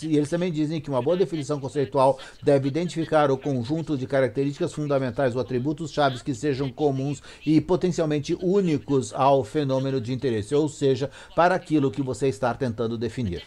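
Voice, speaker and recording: A man with a Brazilian Portuguese accent interpreting an academic lecture live, in halting phrases with self-corrections. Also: mid-range voice, a little mellow.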